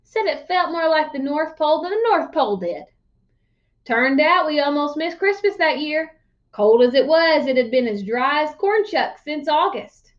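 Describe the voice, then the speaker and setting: Old country yarn-spinner, played by a woman: crotchety, emphatic, folksy storybook delivery, high register, lo-fi sound. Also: animated. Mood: folksy, boastful. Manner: folksy, emphatic, drawn-out exaggeration. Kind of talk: storybook read-aloud character voice.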